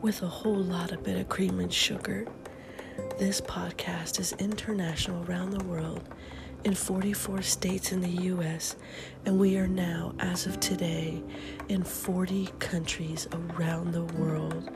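A woman's voice: fairly low pitch, flat delivery, a little slow, vocal fry, over music. A middle-aged woman hosting a podcast in a casual, upbeat morning chat, often half-whispering, with a stuffy nose from a head cold. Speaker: podcast host.

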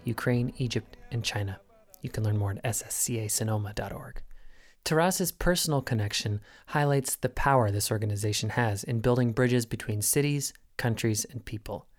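A man giving smooth scripted narration that links the interviews of a local podcast. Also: mid-range voice, brisk, a little mellow. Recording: music underneath.

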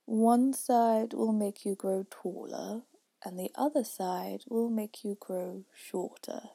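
Woman voicing a slow, breathy, disdainful caterpillar. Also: mid-range voice, fairly even intonation, warm tone, dry recording, full character voice. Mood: languid, aloof. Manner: slow, drawn-out, terse delivery. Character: curt, contrary, sleepy caterpillar smoking a hookah. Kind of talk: storybook reading.

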